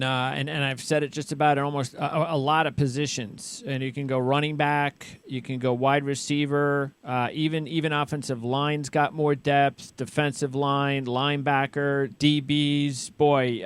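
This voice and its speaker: A man hosting a sports radio show in a casual, halting, rambling way, often repeating words as he goes. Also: mid-range voice, flat delivery, clear, resonant tone. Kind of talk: casual interview and show patter.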